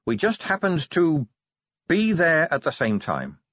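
A man performs a female werewolf officer in an audiobook. The voice is raised in pitch and prickly, quick to snap and always on the defensive. The recording is a little muffled. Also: huge pitch swings, a bit bright, no echo, heavily acted. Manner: snappish, defensive.

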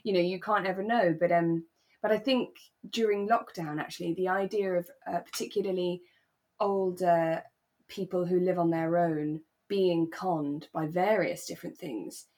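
British woman in relaxed podcast chat, lowish voice. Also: moderate pace. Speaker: podcast co-host. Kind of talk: relaxed conversational chat about a radio drama.

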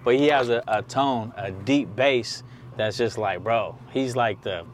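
A man's voice: mid-range voice, a little slow, a little hiss or hum. A man interviewing a guest, chiming in often with encouraging affirmations and asking open questions. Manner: encouraging, frequent "come on" and "yes" affirmations. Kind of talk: casual conversational interview.

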